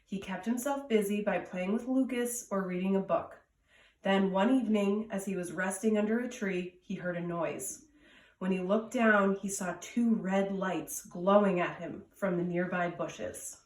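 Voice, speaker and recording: A woman's voice: mid-range voice, unhurried. Woman reading a children's story, roomy and distant. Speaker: storytime reader. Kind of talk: read-aloud children's storytelling. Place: indoors at home. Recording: roomy echo, distant from mic.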